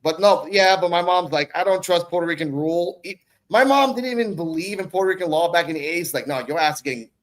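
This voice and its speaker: A man's voice: high-pitched, fast, a bit bright. Rambling, slangy man from the Bronx. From the Bronx, New York, United States. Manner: rambling, slangy, swears freely. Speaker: guest, online content creator and podcaster. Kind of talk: casual conversational podcast talk.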